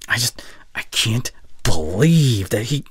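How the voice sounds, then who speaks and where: A young man playing a caring, heartbroken boyfriend in an intimate audio roleplay, speaking haltingly and earnestly, often dropping to near whisper. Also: mid-range voice, sing-song, a bit bright, breathy edge, dry recording, clean studio sound, full character voice. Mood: pained, pleading. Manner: halting, earnest, pausing mid-sentence. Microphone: close studio condenser mic.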